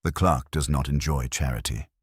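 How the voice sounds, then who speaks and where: A man voicing a prim, composed older woman with dry wit and precise, measured, formal speech in a radio mystery. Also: very deep voice, slightly rough, no echo. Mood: dry, guarded. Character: composed, dryly witty, prim older woman, a music-loving typist.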